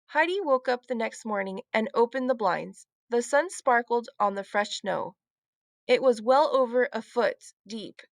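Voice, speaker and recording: A woman reads a children's story aloud as narrator. Her voice is notably high, with a creaky edge. The recording is close and dry, with little room sound. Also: animated, measured pace, dry recording.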